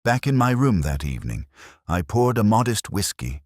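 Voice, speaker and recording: A man playing a brooding, literate police inspector, narrating a detective audio drama in a measured, solemn, reflective way. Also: on the low side, very animated, dry recording, clean studio sound. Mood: pensive, melancholy.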